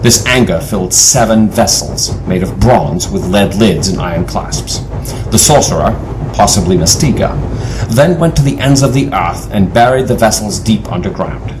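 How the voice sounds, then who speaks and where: An actor playing a grave, knowledgeable team leader in an audio adventure drama, with a measured, authoritative way of explaining. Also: male voice, fairly low pitch, lively intonation, close to an everyday voice. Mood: explanatory, grave. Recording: faint background noise.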